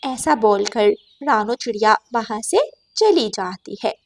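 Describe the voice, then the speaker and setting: A woman's voice: fairly high pitch, expressive, measured pace, gravelly. A woman reading scripted narration for a children's cartoon story.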